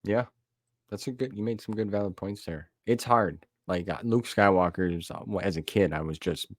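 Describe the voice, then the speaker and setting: A man's voice: fairly low pitch, normal speed, dry recording, studio quality. A middle-aged American man hosting a podcast, chatting casually in a folksy, plain-spoken way. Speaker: podcast host. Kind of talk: casual chat.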